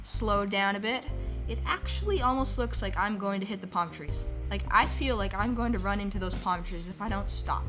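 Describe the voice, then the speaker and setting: A young woman vlogger, a bit raspy and slightly sick with a stuffy nose, chatting casually with lots of fillers. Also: medium pitch. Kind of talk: casual vlog voiceover and to-camera talk. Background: music.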